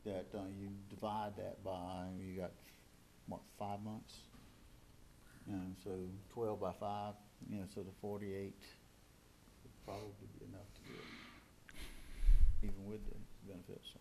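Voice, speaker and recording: A man on a county council, working through budget figures aloud in a halting way in a large chamber. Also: lowish voice, little pitch movement, gravelly, dark tone, a little hiss or hum, poor audio.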